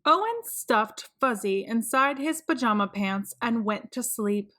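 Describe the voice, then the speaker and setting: A woman reading a children's picture book aloud in a slow, expressive, sing-song manner. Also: on the high side. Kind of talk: read-aloud storytelling.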